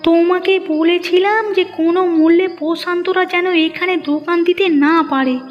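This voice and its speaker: A woman's voice: very high-pitched, steady tone, moderate pace, muffled recording, soft background music. A woman voicing a complaining cartoon village woman, plaintive and nagging. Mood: scolding. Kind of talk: Bengali moral-story cartoon dubbing.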